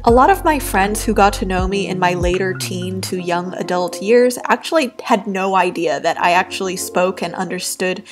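Soft-spoken young woman, reflective. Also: lowish voice. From New York, USA. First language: Cantonese. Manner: soft, thoughtful, candid. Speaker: art YouTuber. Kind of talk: reflective personal voice-over while drawing.

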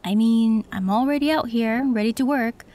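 A woman voicing a female cyberpunk tech who works on an android, calm and matter-of-fact, in an audio roleplay. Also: a little high, moderate pace, natural voice.

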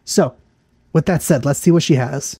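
A man's voice: on the high side, normal speed, warm tone, slightly rough, no echo, clean studio sound. A man in his thirties giving casual reaction commentary as a video host, speaking into a headset. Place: small indoor room setup with green screen.

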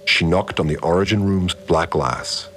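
A deep, cryptic cult priest. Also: male voice. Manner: measured, solemn, introspective narration. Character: calm, cryptic, controlling male cult priest. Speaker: narrator, the priest figure. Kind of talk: scripted audio drama narration. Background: soft music.

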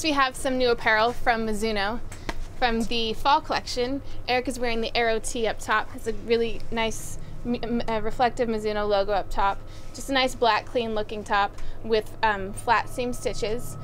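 A young woman presenting new running shoes and apparel in a casual, upbeat way, often pausing with "um". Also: fairly high pitch, a little hiss or hum. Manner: upbeat, with frequent "um" fillers. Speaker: store product presenter. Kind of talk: casual product rundown. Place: outdoors by farm fields.

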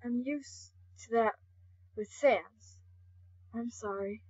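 A woman voicing a friendly, fatherly skeleton gentleman in an amateur comic dub, easygoing and playful. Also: a little high, slow pace, husky, lo-fi sound. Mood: apologetic.

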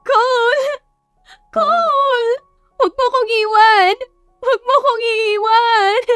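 A woman's voice: very high-pitched, takes it slowly, fairly bright tone, no echo, clean studio sound, faint music behind, pitched above the performer's own voice, heavily acted. A woman voicing a desperate young wife in an audio love story, pleading tearfully with her husband. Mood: crying out. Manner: tearful, imploring.

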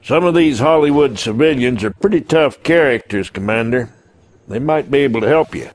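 An actor playing a gruff, folksy middle-aged American general giving military briefings in a video game. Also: male voice, medium pitch, expressive, normal speed, lightly acted. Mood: businesslike. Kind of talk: video game cutscene acting.

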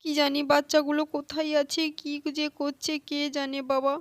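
A woman's voice: high voice, level tone, moderate pace, no room sound, natural voice. A woman voicing a worried village mother, anxious and pleading, in a children's puppet story.

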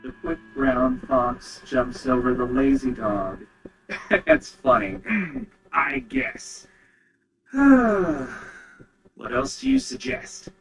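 A man's voice: medium pitch, drawn-out words, creaky, pauses often, faint music behind, low-quality recording. A synthetic male voice reading a short text sample.

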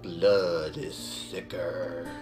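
A man's voice: deep voice, little pitch movement, extremely slow. A man in his twenties giving casual live reaction commentary in a musing way, with a little trouble on th sounds. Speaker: host of a reaction video. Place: small living room, indoors. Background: music and video audio.

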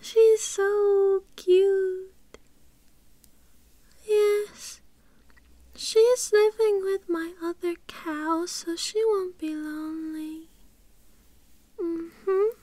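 Very high, shy British girlfriend. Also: fairly even intonation, drawn-out words, pauses often, dry recording, heavily acted. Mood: sweet, content. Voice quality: soft, breathy, often whispery. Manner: timid, cutesy, hesitant. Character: shy, childlike, sweet submissive young girlfriend. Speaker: audio roleplay performer. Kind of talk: ASMR girlfriend roleplay.

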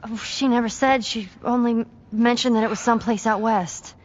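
A woman's voice: a little high, fairly even intonation, a little fast, dull audio, lightly acted. A woman voicing a candid, nervy, foul-mouthed teenage girl in a video game, hesitant and youthful. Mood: awkward. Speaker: voice actor. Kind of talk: naturalistic dramatic game acting.

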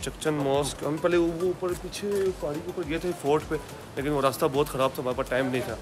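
A man's voice: fairly high pitch, fairly even intonation, fast talker. Pakistani man vlogging with music behind. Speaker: travel vlogger. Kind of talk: casual vlog commentary while showing sights. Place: on location while touring, mostly outdoors. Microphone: handheld camera or phone. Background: background music.

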